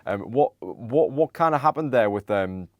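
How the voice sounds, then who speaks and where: A young man hosting a podcast, asking long, halting questions full of "um"s and restarts. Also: mid-range voice, animated. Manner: halting, many "um"s and stammered restarts. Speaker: podcast host. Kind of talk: casual interview conversation.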